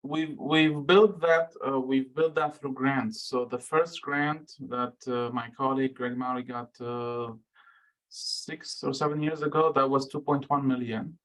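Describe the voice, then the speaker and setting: A man's voice: medium pitch, steady tone, unhurried, a bit bright, poor audio. A man from Lebanon answering audience questions as a guest researcher, speaking frankly with frequent fillers and restarts.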